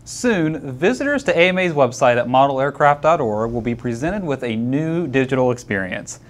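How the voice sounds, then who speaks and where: A man reads scripted news segments as a co-host, with a very lively, swinging intonation. He slips into dry humor during the banter. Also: mid-range voice, normal speed. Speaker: show co-host.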